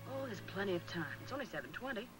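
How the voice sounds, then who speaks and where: An actress playing a headstrong young news photographer in an old 1940s film serial. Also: female voice, mid-range voice, animated, fast, natural voice, rough recording. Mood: eager. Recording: 1940s film soundtrack with music.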